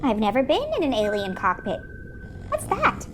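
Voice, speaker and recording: A woman's voice: sits high, wildly swooping pitch, moderate pace, slight background noise. A woman playing a sensible, skeptical young girl in a comic audio drama, pert and questioning. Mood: wary.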